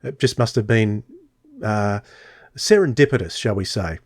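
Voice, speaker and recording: A man's voice: lowish voice, animated, moderate pace, dry recording, clean studio sound. A man hosting a podcast, chatting casually and telling stories with many fillers. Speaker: podcast host.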